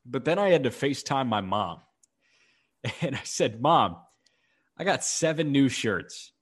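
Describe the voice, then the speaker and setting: A man from Chicago, stuffed up and coughing, co-hosting a baseball podcast. His talk is laid-back and rambling, with lots of filler words, at a middle pitch. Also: big pitch swings, a little slow, breathy edge. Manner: laid-back, chatty, says "like" often. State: congested with a cough. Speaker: podcast co-host. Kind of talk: casual sports podcast chat.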